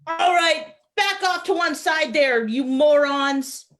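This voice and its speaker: A woman's voice: high voice, slow, a bit bright. A woman playing a curt, insulting police officer ordering people about in a drama reading. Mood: curt, annoyed. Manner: curt, commanding. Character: gruff, insulting police officer doing crowd control.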